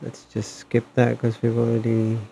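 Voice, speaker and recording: A man's voice: mid-range voice, completely flat delivery, moderate pace, vocal fry, dark tone, a little hiss or hum. A man hosting a casual gaming live stream, talking hesitantly and muttering to himself as he plays. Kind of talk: casual live stream chat while playing a game.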